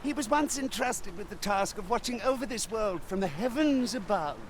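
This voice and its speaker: An actor playing a whimsical old storyteller in a video game, musing theatrically in archaic phrasing. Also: male voice, high voice, expressive, moderate pace, a little hiss or hum. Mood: musing, wistful. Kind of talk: video game character dialogue.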